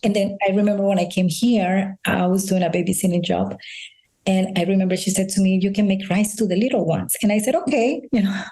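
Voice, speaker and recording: A woman's voice: medium pitch, dark tone, low-quality recording. A Spanish-speaking woman from Colombia with a Colombian accent, telling personal stories earnestly as a guest in a conversational interview. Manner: earnest storytelling, frequent restarts and "you know". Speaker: integrative health practitioner, guest.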